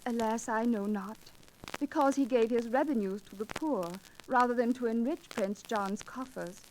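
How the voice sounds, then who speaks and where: A woman plays a gentle, honorable young noblewoman in a 1940s radio drama. Her voice is fairly high, with refined, formal, archaic diction. The old recording carries faint noise and clicks. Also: normal speed, lightly acted, rough recording. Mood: anxious pleading. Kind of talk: 1940s radio romance acting.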